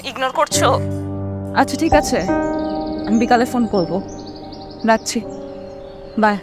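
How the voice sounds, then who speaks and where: A woman's voice: fairly high pitch, a little slow, loud music behind the voice, lightly acted. A woman acting in a TV drama as a reproachful young Bangladeshi ex-girlfriend in her twenties, wistful and pointed. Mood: hurt, insistent. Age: 20s.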